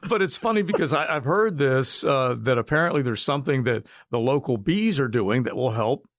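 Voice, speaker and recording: A man's voice: mid-range voice, expressive, a little fast, dry recording. Male sports radio host, mid-range voice, casual and joking, close dry sound. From southern United States. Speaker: sports talk show host.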